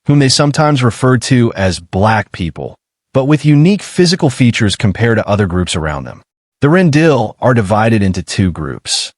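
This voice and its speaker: A man hosting and narrating a documentary, reading a measured, explanatory script and addressing viewers directly. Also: lowish voice, dry recording, clean studio sound.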